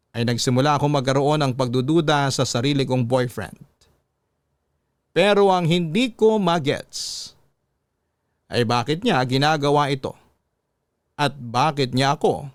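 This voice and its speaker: A man hosting a story show, reading a listener's tale in the first person with a measured, suspenseful delivery. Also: mid-range voice, no echo.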